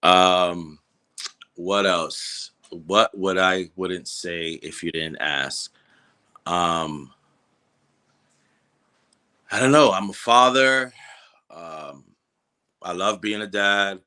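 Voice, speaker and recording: Deep, bright-toned New York man. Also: very slow, pauses often, clean tone. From New York City, Washington Heights. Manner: loose, hesitant with many ums, slangy. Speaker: guest, cannabis business co-founder. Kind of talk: casual interview chat.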